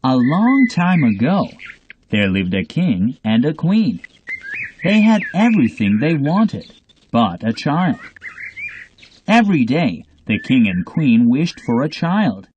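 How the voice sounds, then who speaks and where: A man reads a scripted fairy tale as narrator. His pitch is medium and moves expressively, and he goes slowly for learners. There is faint noise behind him. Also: big pitch swings, a little mellow, lo-fi sound. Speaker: storybook narrator.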